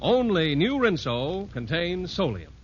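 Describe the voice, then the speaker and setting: A male pitchman delivers a vintage radio commercial in a very animated, slightly high voice. His intonation rises and falls a lot. The recording is dull and clearly noisy. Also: measured pace.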